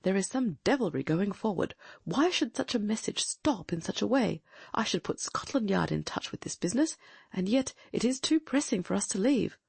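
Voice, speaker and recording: Female narrator as quick-witted male detective, commanding, brisk and inquisitive, husky, dry recording, audiobook story. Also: mid-range voice, animated, a little mellow, no echo. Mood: urgent.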